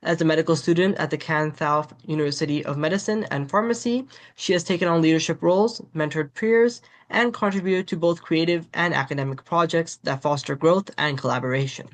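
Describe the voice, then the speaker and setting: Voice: medium pitch, a little fast, few pauses. A webinar host reading a formal prepared introduction over a video call. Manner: reads prepared remarks, formal. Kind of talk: scripted introduction.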